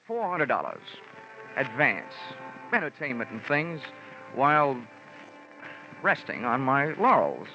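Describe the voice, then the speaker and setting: An actor playing a hard-boiled, wry American insurance investigator with clipped sardonic delivery in 1940s radio style. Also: male voice, medium pitch, bright, forceful tone, heavily acted. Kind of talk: 1940s radio acting, detective narration. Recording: muffled old radio recording.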